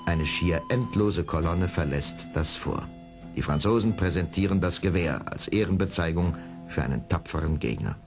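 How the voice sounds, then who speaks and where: Low, animated male story narrator. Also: moderate pace, music in the background. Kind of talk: dramatic scripted adventure audio drama narration.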